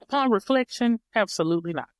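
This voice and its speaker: Smooth-voiced woman telling a story. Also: medium pitch, animated, fast, no echo, studio quality. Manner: reflective, repeats and rephrases her words. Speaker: podcast guest. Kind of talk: casual storytelling chat.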